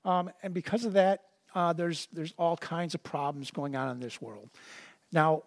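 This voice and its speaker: A man with a high voice gives a sermon. He reads scripture slowly and deliberately and then talks it through casually, often repeating a word or two as he gets going. The recording is dry and close. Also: fairly even intonation, vocal fry, dry recording. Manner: conversational, repeats words when starting sentences. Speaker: preacher.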